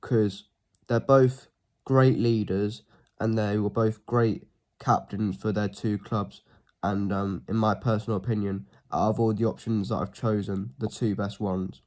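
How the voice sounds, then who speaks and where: A fairly low-voiced man talks through a football line-up on his own, sounding a little sniffly from a cold. He keeps it relaxed and unscripted, with plenty of ums, and lets the ends of his phrases drop off quietly. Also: a little slow. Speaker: football fan channel host. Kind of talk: casual solo preview talk.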